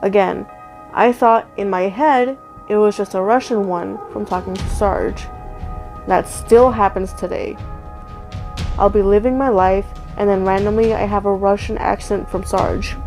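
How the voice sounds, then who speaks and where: A woman's voice: medium pitch, steady tone, measured pace, a little mellow, faint music behind. A woman reading a first-person story aloud in a casual, conversational way. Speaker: story narrator.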